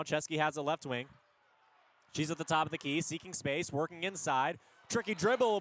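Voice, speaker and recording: A man doing energetic live radio basketball play-by-play in punchy short phrases, rising on big plays. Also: on the high side. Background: basketball arena ambience.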